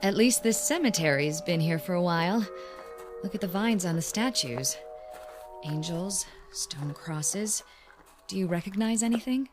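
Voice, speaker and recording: An actress playing a worried, loyal young fiancée in a radio drama, earnest and concerned. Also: female voice, fairly low pitch, animated, measured pace. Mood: puzzled. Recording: music behind the voice.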